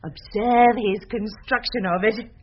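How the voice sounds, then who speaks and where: A woman's voice: mid-range voice, fairly even intonation, measured pace, breathy edge. An actress playing a sly, witty, mischievous serving woman in British radio Shakespeare from the 1970s. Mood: gleeful scheming. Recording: dull, noisy old radio recording.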